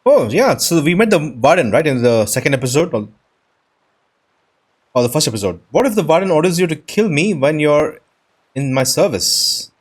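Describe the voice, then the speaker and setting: A man's voice: a little high, sing-song, normal speed, breathy edge. A man hosting a casual let's play, chatty and thinking aloud as he goes. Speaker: gaming video host. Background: soft mallet game music.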